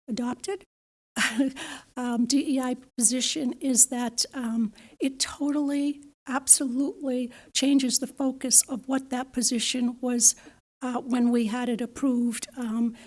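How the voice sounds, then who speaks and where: A hoarse woman, a committee chair, giving a polite, detailed comment at a town board meeting with many ums and uhs. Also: fairly high pitch, a little mellow.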